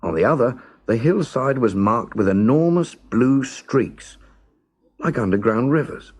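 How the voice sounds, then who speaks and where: A man narrating a scripted children's story as a storyteller, expressive, measured and dramatic. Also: mid-range voice.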